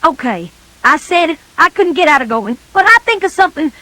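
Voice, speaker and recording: High, bright, animated woman storyteller. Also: brisk, no room sound. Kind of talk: scripted children's story narration.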